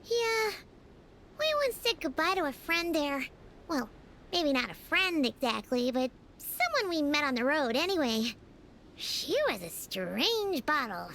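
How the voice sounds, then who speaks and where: A tiny childlike fairy sidekick from an animated game. The pitch is extremely high and swings wildly. The tone is piercingly bright, with perky, exclamatory delivery. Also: female voice, unhurried, gravelly, a little hiss or hum, heavily acted. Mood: thoughtful.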